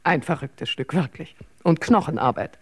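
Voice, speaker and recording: A woman's voice: deep voice, normal speed, husky. A woman playing a chatty, self-mocking ageing stage actress in a radio drama, wry and theatrical in manner. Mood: breezy, self-mocking. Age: older.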